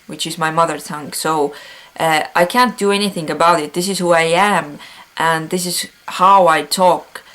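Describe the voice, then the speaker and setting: Estonian-accented woman, pausing earnestly. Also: sits low, fairly even intonation, fairly bright tone. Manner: earnest, with frequent pauses and filler sounds. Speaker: vlog host reading metal news. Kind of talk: casual news vlog.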